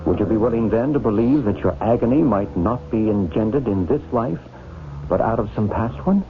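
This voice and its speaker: Band-limited old radio sound, male hypnotist healer, lowish voice, measured, probing, authoritative, radio drama. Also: expressive, phone-quality sound, a little hiss or hum. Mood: calm, assured.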